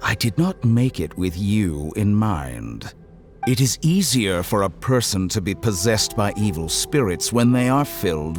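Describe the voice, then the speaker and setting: An actor playing a cold, scheming male scientist villain who speaks in a smooth, coolly mocking way. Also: on the low side. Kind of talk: game cutscene voice acting.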